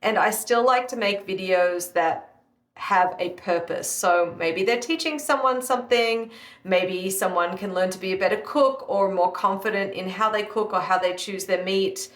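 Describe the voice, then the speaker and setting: A woman's voice: mid-range voice, moderate pace. Chatty aussie woman in her 30s, lots of ums, echoey room. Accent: Australian. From Australia. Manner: chatty, upbeat, many fillers. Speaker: guest, live fire cook. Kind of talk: interview answers.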